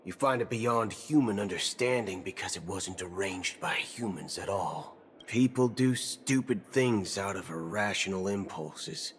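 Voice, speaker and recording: A brash cyborg cowboy from a video game. He has a low voice and a cynical, swaggering drawl, set over prominent music.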